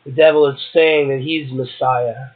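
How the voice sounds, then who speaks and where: A man's voice: medium pitch, steady tone, normal speed. A man hosting a poetry show, reading verse and prose aloud and commenting on it casually. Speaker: poetry podcast host, poet. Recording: muffled, tinny phone-like audio.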